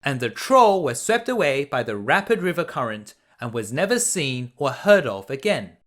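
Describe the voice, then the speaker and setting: A man reading a children's bedtime story aloud in an expressive storytelling manner. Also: mid-range voice, normal speed.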